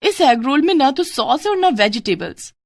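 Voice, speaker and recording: A woman's voice: high voice, big pitch swings, moderate pace, no echo, voice pushed up. A woman voicing a pert young college-age sister-in-law in a moral-story cartoon. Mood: snippy, complaining.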